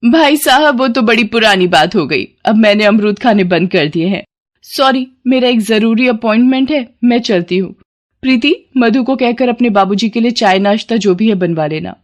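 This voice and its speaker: A woman's voice: fairly high pitch, expressive, normal speed, lightly acted. A haughty, rich mother-in-law of middle age, put on by a woman storyteller. She is scornful and melodramatic, a schemer from a family morality tale.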